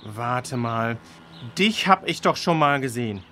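Angry father, scolding, accusatory, slow emphatic delivery, sharp tone, children's audio drama. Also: mid-range voice, expressive, slight background noise. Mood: angry, indignant. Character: angry, bullying well-off father in a suit.

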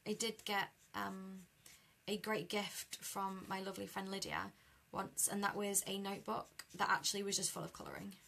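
A young British woman with a croaky voice chatting easily with live viewers while she colours at a craft desk. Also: mid-range voice, animated, moderate pace, sharp tone. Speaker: card-making demonstrator. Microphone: overhead camera over a desk.

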